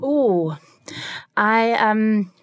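A woman's voice: medium pitch, lively intonation, drawn-out words, no room sound, clean studio sound. A South African woman telling her own story as an interview guest, reflective and articulate. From Cape Town, South Africa. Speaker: interview guest, leadership consultant. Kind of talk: conversational personal storytelling. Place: recording studio.

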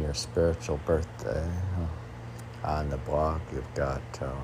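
A man with a very deep, gravelly voice reads and muses on his own poetry. He speaks slowly, in a rambling, self-questioning way. There is some background noise behind him. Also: a little mellow. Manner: musing, talks to himself in questions. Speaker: poet reading own poems.

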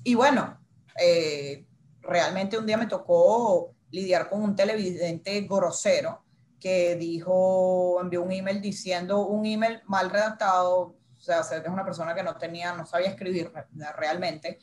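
A woman who works as a TV news reporter tells her story in a conversational interview, acting out the dialogue with lively energy. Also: lowish voice, measured pace. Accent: Spanish-speaker's accent in English.

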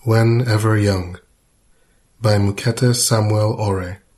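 Even-toned, lowish male poetry reader. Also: slow. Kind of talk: poetry reading.

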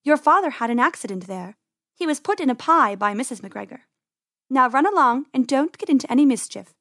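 A woman voices an old mother rabbit in a storybook. She is kindly but firm and motherly, with a fairly high voice in a dry recording. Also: lively intonation, brisk, fairly bright tone, no echo. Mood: motherly caution. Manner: motherly, instructing. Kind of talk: children's storybook character voice.

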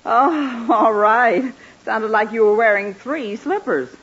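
An actress playing a mild, patient middle-aged housewife and mother in a 1940s radio comedy, gently prodding. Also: medium pitch, very animated, measured pace, husky, full character voice. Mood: placid. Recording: muffled old radio recording.

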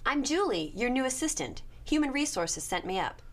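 A woman's voice: sits high, very animated, moderate pace. A woman playing a polite office assistant in an audio drama. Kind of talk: scripted audio drama acting.

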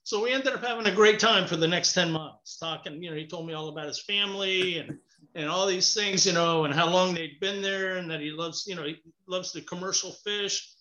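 A man's voice: sits high, fairly quick. A man from Cuba, a guest author, telling stories in a casual interview over a remote call and talking with his hands. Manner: animated storyteller, talks with his hands. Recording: echoey, muffled call audio.

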